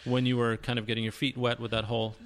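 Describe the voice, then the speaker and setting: Man, interviewer, friendly, rambling, halting restarts, mid pitch. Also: level tone. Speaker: interviewer, tenor. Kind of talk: casual interview chat.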